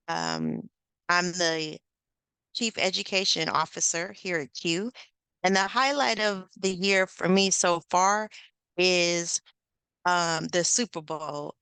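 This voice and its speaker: A woman's voice: lowish voice, bright, forceful tone, clean tone, no echo. A woman hosting an online session over a video call, upbeat and encouraging, with lots of filler words. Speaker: host and facilitator.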